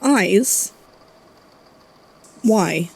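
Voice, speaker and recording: A woman playing a guarded, thoughtful investigator in an audio drama, speaking haltingly. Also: medium pitch, animated, gravelly, a little mellow, husky, exaggerated voice. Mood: puzzled, uneasy. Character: guarded, puzzled investigator from a supernatural archive.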